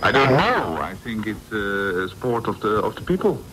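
Male sports editor, Dutch accent, lowish voice, hesitant phrasing, faintly noisy shortwave recording. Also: big pitch swings. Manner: halting, non-native phrasing. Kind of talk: unscripted radio interview.

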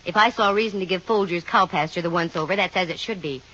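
A woman's voice: lowish voice, fast, a bit bright. Dry, wisecracking American lady detective, muffled radio. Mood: dry, pointed. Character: wry, self-assured female private investigator. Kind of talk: 1950 radio detective drama acting, with first-person narration. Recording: muffled vintage 1950 radio recording with music.

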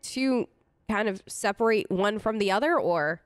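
Gamer girl improvising in-character replies, chatty, very animated pitch. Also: measured pace, clear, resonant tone, soft background music. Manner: conversational, improvised. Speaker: let's player. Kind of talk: casual role-play game commentary.

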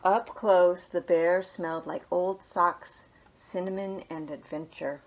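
A woman in her thirties reads a picture book aloud in a small living room, recorded on a camera in front of her. Her voice sits a little low and she takes her time, gentle, with hushed asides. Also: fairly even intonation, slow, low-quality recording. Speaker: children's librarian.

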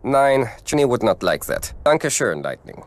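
Man doing a race car, boastful, formal, expressive pitch, cartoon. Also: normal speed, lightly acted. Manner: stiff, proud, formal phrasing. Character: boastful male German race car, impatient to race. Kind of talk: animated game voice acting.

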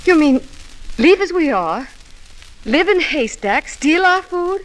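A woman's voice: sits high, big pitch swings, slow, exaggerated voice, low-quality recording. A woman playing a proud, wilful, ambitious young woman in a 1940s radio drama. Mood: urgent, reproachful.

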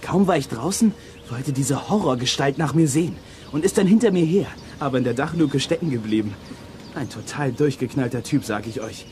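A man's voice: mid-range voice, animated, brisk, a little mellow, a little hiss or hum, close to an everyday voice. An actor playing a defiant, hot-tempered boy in a children's audio drama, cheeky and emphatic. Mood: excited recounting.

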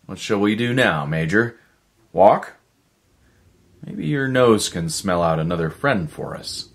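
A man's voice: fairly low pitch, very animated, measured pace, slightly rough, voice pushed up, exaggerated voice. A man voicing a lonely, desperate man in a story, earnest and pleading. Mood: relieved.

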